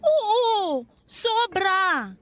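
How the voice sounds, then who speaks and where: A woman's voice: very high-pitched, sing-song, drawn-out words, fairly bright tone, soft background music, heavily acted. An actress playing an anxious, ambitious young woman pleading earnestly with her boyfriend in a radio drama. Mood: earnest, anguished. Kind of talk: Tagalog radio drama acting.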